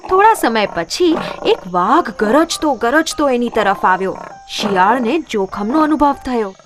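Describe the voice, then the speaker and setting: Lady telling kids stories, lively up and down voice, clear music behind her. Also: a little high, unhurried. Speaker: narrator. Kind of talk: scripted children's story narration.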